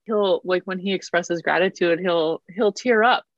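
A woman's voice: lowish voice, measured pace, no room sound, highs cut off. A woman in her thirties from Canada, chatting as an interview guest with a self-deprecating, laughing manner. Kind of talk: casual interview chat.

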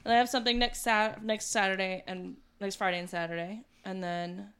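A woman's voice: mid-range voice, steady tone, fairly quick, fairly bright tone, clear, resonant tone. A woman in her twenties, co-hosting a podcast in a teasing, casual way. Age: 20s. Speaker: podcast co-host. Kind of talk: casual chat.

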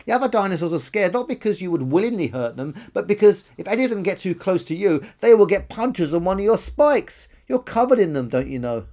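A huge but kindly storybook brontosaurus with a small, high voice, put on by a man. He is helpful and patient, explaining things in a friendly way. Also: big pitch swings, brisk, hardly any pauses, darkish tone.

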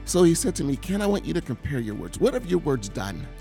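A man giving an earnest, confessional sermon testimony, often halting and repeating words as he speaks. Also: on the high side, sing-song, gravelly, mellow, faint music behind.

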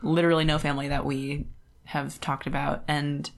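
Female podcast co-host, low-pitched, informal and conversational, casual book-club discussion. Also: flat delivery, moderate pace.

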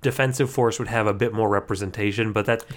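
A man's voice: lowish voice, fairly even intonation, fast talker. A man co-hosting a podcast, chatting casually and jokingly about cartoons. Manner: joking, riffing with mock voices. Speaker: podcast co-host.

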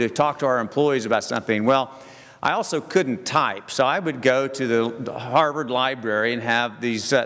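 A middle-aged or older man, giving a folksy, halting, self-deprecating talk to a group. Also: mid-range voice, a bit bright. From southern United States. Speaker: speaker addressing a church group. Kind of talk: personal talk with stories and Bible reading. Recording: long echoing hall reverb.

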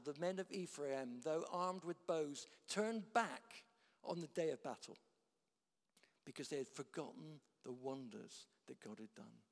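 Man preaching, slightly high voice, dynamic swings from loud to hushed, long hall reverb. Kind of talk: sermon.

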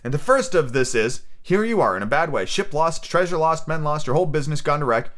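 A man's voice: fairly high pitch, expressive, brisk, a bit bright, voice pushed up. A man voicing a bold, defiant boy hero in a pirate adventure story, earnest and declaiming. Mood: bold, excited.

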